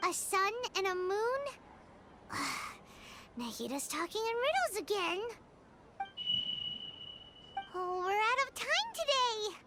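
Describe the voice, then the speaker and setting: Game casting: tiny childish fairy sidekick, extremely high squeaky voice, animated, very noisy backing. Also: female voice, unhurried, heavily acted. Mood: chirpy. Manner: exclamatory, childishly indignant, speaks of herself by name. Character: small excitable floating fairy companion, childlike, talks in third person. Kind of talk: video game voice acting.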